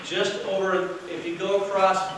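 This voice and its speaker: Loud presenter in a very echoey room. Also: male voice, high voice, level tone, measured pace. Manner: loud projecting voice, no microphone; casual, chatty, with fillers. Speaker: hotel sales director. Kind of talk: workshop presentation to a live audience. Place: meeting room with an audience. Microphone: distant camera, no microphone. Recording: very echoey room from far off with noise.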